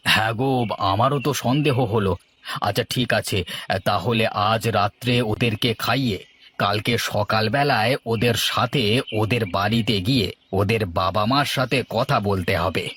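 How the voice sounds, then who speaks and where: A man's voice: on the low side, moderate pace, fairly bright tone, slight background noise, close to an everyday voice. Man acting a mild, concerned village uncle. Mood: calm, concerned. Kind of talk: amateur cartoon dubbing.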